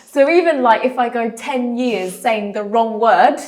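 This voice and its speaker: A woman's voice: a little high, measured pace. A British woman hosting a conversational podcast, talking animatedly and acting out quoted voices. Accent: British English. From United Kingdom. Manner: animated, acts out quoted voices, laughs easily. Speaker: podcast host.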